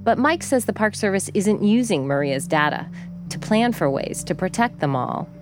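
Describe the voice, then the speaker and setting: A woman radio reporter narrating a feature and questioning people outdoors in a measured, probing way. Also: medium pitch, lively intonation, music in the background. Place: outdoors by a flooded park, traffic nearby.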